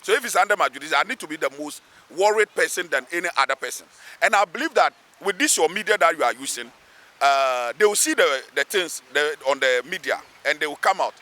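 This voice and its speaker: High, bright-voiced Ghanaian man, emphatic. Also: lively intonation, fast talker. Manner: emphatic, repeats phrases for weight. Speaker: local assemblyman, interviewee. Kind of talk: spoken interview, pleading advocacy. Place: outdoors beside a road underpass.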